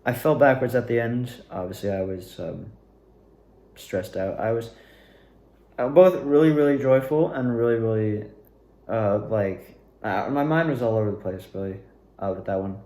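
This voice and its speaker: A man's voice: lowish voice, normal speed, warm tone. A young man reflecting casually and unscripted to his viewers, rambling hesitantly and often trailing off. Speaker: amateur actor and video maker. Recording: echoey room.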